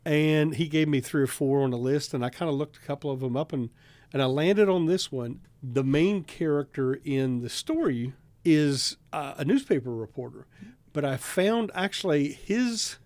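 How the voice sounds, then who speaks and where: Higher-pitched man in dry studio talk. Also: expressive, normal speed, a little mellow, no echo. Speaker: radio drama writer and public broadcaster. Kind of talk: unscripted studio conversation introducing a radio drama. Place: radio studio.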